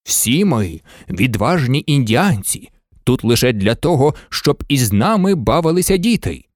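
A woman voicing a stern, proud male toy warrior chief who speaks slowly and firmly. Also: rumbling low, big pitch swings, darkish tone, dry recording, studio quality, voice pushed down, heavily acted. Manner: stern, slow.

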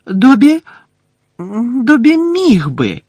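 A reader voicing a small, anxious, servile male house-elf who talks about himself in the third person, in a high piping voice. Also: huge pitch swings, very slow, darkish tone, dry recording, full character voice. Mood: nervous. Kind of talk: audiobook character voice.

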